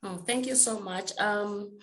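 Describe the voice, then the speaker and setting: A woman from Uganda, a researcher speaking on an online panel in a measured way with frequent hesitations. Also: mid-range voice, fairly bright tone. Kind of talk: panel discussion.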